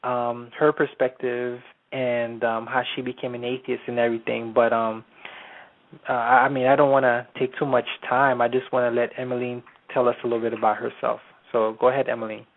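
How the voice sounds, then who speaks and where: A man's voice: mid-range voice, flat delivery, a little fast. Hesitant male radio host on a phone line. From Haiti. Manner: hesitant, many ums and restarts. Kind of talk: casual internet radio interview. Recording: tinny phone-line audio.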